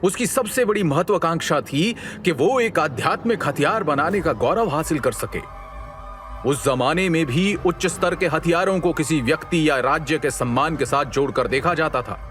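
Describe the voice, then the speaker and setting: A man narrates an audio story in a scripted, dramatic style. His voice is a little higher than most men's, and music plays behind him. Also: normal speed, fairly bright tone. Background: background music.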